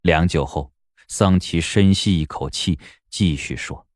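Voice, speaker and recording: A man's voice: low-pitched, a little slow, no room sound, clean studio sound. A man giving dramatic scripted narration for a historical audio drama, as an audiobook narrator.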